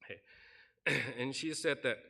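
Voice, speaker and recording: A man's voice: mid-range voice, unhurried, creaky, pushed and bright. A man preaching a sermon in a church, earnestly telling a story and acting out the people in it. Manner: earnest, recounts stories and acts out quoted people. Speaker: pastor. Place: church sanctuary.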